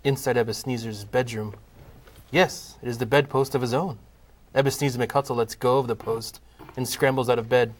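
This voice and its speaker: A man with a medium-pitched voice narrates a comic audio play. His delivery is dramatic scripted storytelling, broken into short, deliberate phrases. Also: fairly even intonation.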